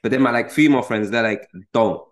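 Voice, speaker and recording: A young man from the UK chatting casually as a podcast host, quick and full of restarts. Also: mid-range voice. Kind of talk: casual chat.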